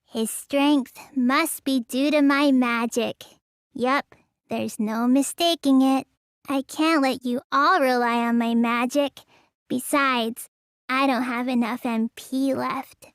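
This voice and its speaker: Casting: teenage girl mage in a video game; childlike, petulant, proud, slow listless drawl, bright dry sound. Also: sits high, no room sound. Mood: proud, resolute, then listless, grumbling. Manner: childlike, listless drawl with sudden excitable bursts. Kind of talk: English video game voice acting.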